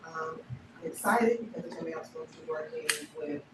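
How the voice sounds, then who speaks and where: Soft-spoken woman in a noisy echoey room. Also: deep voice, fairly quick. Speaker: assistant principal. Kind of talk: self-introduction at board meeting. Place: meeting room. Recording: echoey room, distant mic, loud noise.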